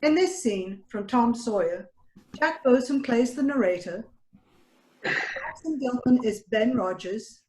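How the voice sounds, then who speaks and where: A woman with a fairly high voice reads a scripted introduction as a presenter. The sound is roomy and echoey, like a video call from indoors. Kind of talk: scripted introduction read aloud.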